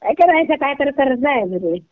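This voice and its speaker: An older woman's high voice comes through a narrow, tinny phone line. She has a rural Kolhapur Marathi accent and talks bluntly and earthily in casual conversation. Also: animated, normal speed, no echo. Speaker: prank call recipient, video creator.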